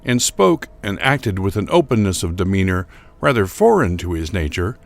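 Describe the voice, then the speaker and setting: A male actor plays a troubled gentleman narrator of gothic horror, a man with a low voice that rises and falls widely. He recounts his past bitterly, in formal, deliberate phrases with a theatrical touch. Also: sing-song, creaky, heavily acted. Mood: bitter, resentful. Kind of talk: dramatic first-person reading of gothic horror fiction.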